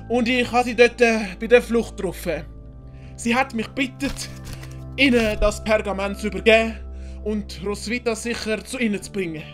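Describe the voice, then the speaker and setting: A woman voicing an earnest young male student in an audiobook, halting and hesitant. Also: on the high side, close to an everyday voice.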